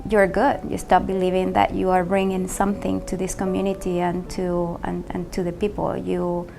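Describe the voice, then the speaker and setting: A woman from Caracas with a Venezuelan accent, speaking English in a measured, explanatory way with a quietly sorrowful tone. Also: on the low side, monotone, creaky. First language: Spanish. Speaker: ballet mistress and company manager. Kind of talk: personal testimony interview. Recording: music under the voice.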